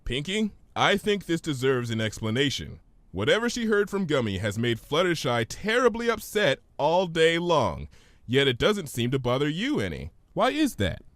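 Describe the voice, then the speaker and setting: A man voicing a calm, bookish female pony princess in a storybook reading, measured and reassuring. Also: on the high side, no room sound. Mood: firm. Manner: measured, explanatory.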